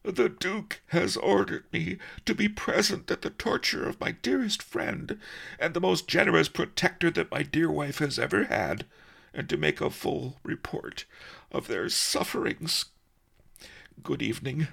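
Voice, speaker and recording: A man's voice: fairly high pitch, creaky, a bit bright, breathy edge, pitched above the performer's own voice, heavily acted. A man voicing a small, squeamish, melodramatic rabbit who wails theatrically. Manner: theatrical wailing, overwrought. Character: squeamish little male Key Rabbit, melodramatic, wailing.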